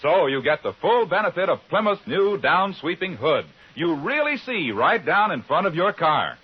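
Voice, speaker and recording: A man's voice: fairly high pitch, big pitch swings, moderate pace, slightly rough. A man with an American accent reading a 1950s radio advertisement as a commercial announcer. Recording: muffled old radio recording.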